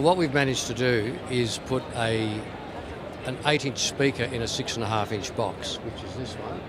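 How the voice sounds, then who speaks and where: Tongue-in-cheek man over clear background noise. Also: mid-range voice. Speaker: loudspeaker company representative. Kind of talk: informal product demonstration interview. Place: busy trade show.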